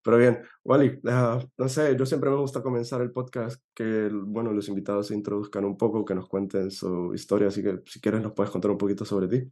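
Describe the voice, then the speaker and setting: A low-pitched man with a hoarse, allergy-worn voice hosts a relaxed podcast chat. He speaks in a flat, even tone, friendly and chatty, and laughs easily between his remarks. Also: a little fast, few pauses. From El Salvador. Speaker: podcast host. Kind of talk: casual podcast interview. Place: home in Europe.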